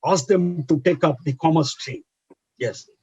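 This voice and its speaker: A man with an Indian English accent explaining as a chartered accountancy educator, halting with many fillers in an earnest advisory way. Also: on the high side, mellow, husky, no echo, muffled recording. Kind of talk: explanatory interview answer.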